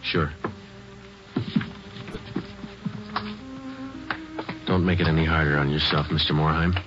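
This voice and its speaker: A man in a 1940s radio drama playing a calm, businesslike police detective, terse and matter-of-fact. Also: extremely low pitch, fast, over music. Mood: subdued, careful. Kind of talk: 1940s radio drama, clipped procedural narration. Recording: dull 1940s radio recording.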